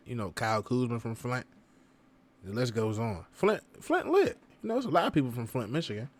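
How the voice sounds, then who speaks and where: A man reacting to a video as the vlog's host, talking casually and excitedly to his viewers in heavy slang. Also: mid-range voice. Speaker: reaction vlogger.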